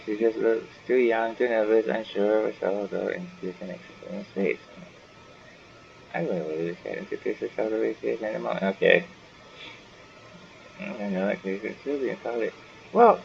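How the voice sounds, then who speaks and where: Low creaky-voiced man, gaming commentary. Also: a little mellow. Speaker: gaming video host. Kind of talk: casual playthrough commentary. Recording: dull lo-fi mic with faint noise.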